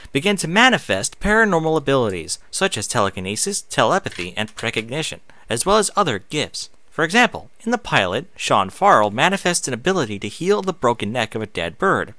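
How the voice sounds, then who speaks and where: Male casual reader, bright forward voice, very varied intonation, occasional stumbles. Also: mid-range voice, very animated, quick pace, no echo. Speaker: online reader and streamer. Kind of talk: reading aloud with casual off-script asides.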